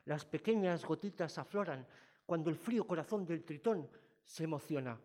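A man from performing spoken-word poetry in a theatrical, expressive way. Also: fairly high pitch, moderate pace. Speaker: slam poet.

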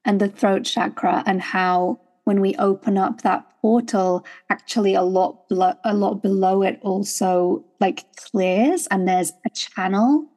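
A woman's voice: medium pitch, steady tone, unhurried, hardly any pauses. Woman podcast host, thoughtful and affirming. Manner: warm affirming listener, thoughtful, "like" fillers. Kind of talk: casual podcast conversation.

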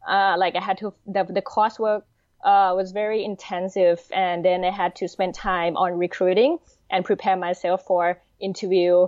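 A woman's voice: fairly low pitch, flat delivery, normal speed, a little mellow, no echo. Woman, Thai accent, thirties, podcast guest, hesitant, many fillers, lo-fi, dry. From Thailand. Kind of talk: interview. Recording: dull lo-fi sound.

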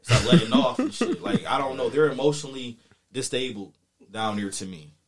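A man's voice: medium pitch, normal speed. East Coast man telling boastful stories. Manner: slangy, profane, boastful. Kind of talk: casual chat, animated storytelling.

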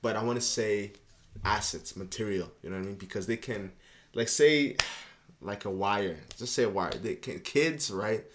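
A man's voice: on the low side, moderate pace, slight background noise. A young man from Canada, chatting loosely as a podcast guest and often checking in with "you know what I mean". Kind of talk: casual interview chat.

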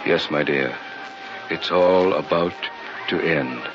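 An actor playing a sinister, soothing doctor in an old radio horror show, suave and deliberate. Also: male voice, very deep voice, music in the background. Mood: calmly menacing. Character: sinister, soothing doctor posing as a hypnotist professor. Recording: muffled, noisy old radio broadcast.

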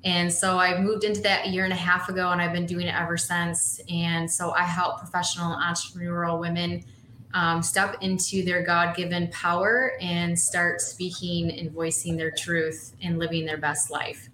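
Bright, flat-toned woman in a roomy space. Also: lowish voice, moderate pace, slight background noise. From Michigan, USA. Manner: earnest, motivational. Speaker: guest, social media and women's coach. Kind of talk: podcast interview. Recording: roomy echo.

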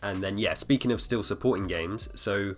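A man in his thirties hosting a podcast alone, slightly hoarse, rambling with fillers and restarts. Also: lowish voice, normal speed. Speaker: podcast host. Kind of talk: casual solo podcast talk.

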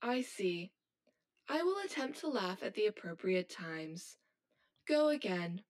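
A woman playing a cold, blunt high-achieving schoolgirl who talks in a stiff, formal, robotic way in an audio drama. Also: big pitch swings, measured pace. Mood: flat, detached. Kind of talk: scripted audio drama acting.

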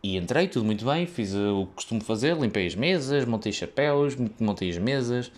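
A man's voice: mid-range voice, expressive, brisk. A young man with a European Portuguese accent tells a casual, rambling story about his work as a waiter, full of fillers, restarts and side tracks.

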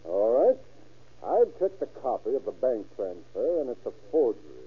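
Blunt, husky sheriff, tinny old radio. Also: male voice, mid-range voice, big pitch swings, normal speed. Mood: pressing. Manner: blunt, pressing, folksy. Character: hard-nosed, impatient male sheriff interrogating a suspect. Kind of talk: 1930s-1940s radio acting.

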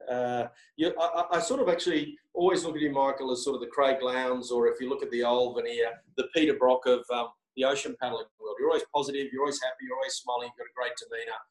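Aussie guy asking rambly interview questions, lots of "and, and", echoey room. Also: medium pitch. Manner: halting, repeats words and hedges. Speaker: interviewer, company executive.

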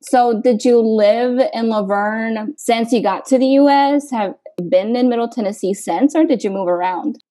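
A woman's voice: on the high side, moderate pace, few pauses, warm tone. A young woman interviewing a guest in a friendly, chatty way, often laughing. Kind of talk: casual interview.

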